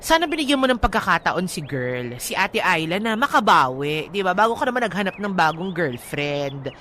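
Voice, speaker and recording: A woman's voice: lowish voice, big pitch swings, moderate pace, a bit bright, slight background noise. A woman with a Filipino accent co-hosting a radio show in a conversational style. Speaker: radio co-host. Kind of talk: lively radio banter and drama narration.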